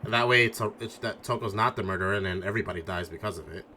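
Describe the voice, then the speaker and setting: A man's voice: on the low side, very fast, bright, forceful tone, a little hiss or hum. A man talking casually and informally as a game streamer, swearing and thinking aloud through his theories. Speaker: streamer playing a mystery game.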